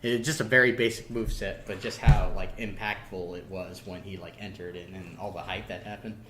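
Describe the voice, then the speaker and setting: Lowish-voiced, rambling man on a podcast. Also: fairly even intonation, a little fast, bright, forceful tone. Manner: hesitant, rambling, earnest. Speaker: podcast guest. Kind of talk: casual chat about video games. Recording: echoey room, faint background noise.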